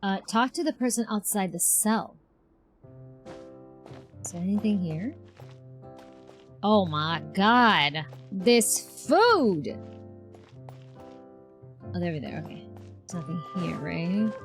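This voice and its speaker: A woman's voice: mid-range voice, lively intonation, takes it slowly, long pauses, soft background music. A woman streamer chatting casually and reacting as she plays a game, talking to her viewers. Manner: reactive, talks to chat. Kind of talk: casual live game playthrough chat.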